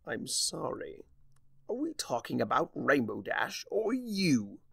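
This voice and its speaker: A man's voice: on the high side, wildly swooping pitch, slow, creaky, a bit bright, breathy edge, dry recording, clean studio sound, voice pushed up, heavily acted. A man voicing a mocking, vengeful chaos creature in a fan fiction reading, with a pompous, wordy, taunting manner. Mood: smug mocking. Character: theatrical, mocking, vengeful male chimera trickster of chaos.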